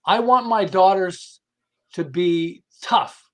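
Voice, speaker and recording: A man with a high-pitched voice speaks as an expert guest in a podcast conversation. He explains through anecdotes in an animated but halting way, breaking off and picking up his sentences again. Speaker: podcast guest, behavioral management specialist.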